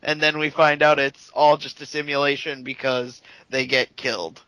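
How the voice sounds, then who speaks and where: A man's voice: medium pitch, little pitch movement, normal speed, sharp tone, smooth, dry recording, poor audio. A man co-hosting a casual gaming podcast, rambling and filling his sentences with "like". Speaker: podcast co-host. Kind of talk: casual chat.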